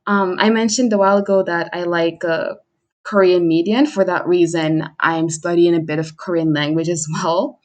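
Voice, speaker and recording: A woman's voice: on the low side, fairly even intonation, moderate pace. A young adult woman chatting casually and self-deprecatingly, as a livestream host introducing herself. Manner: self-deprecating, chuckles while talking. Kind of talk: casual chat monologue.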